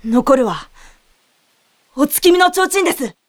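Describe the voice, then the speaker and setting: A woman's voice: high voice, expressive, slow pace. A woman playing an earnest young girl from a spirit world in an audio drama. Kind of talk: Japanese audio drama acting and narration. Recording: roomy, distant, music behind.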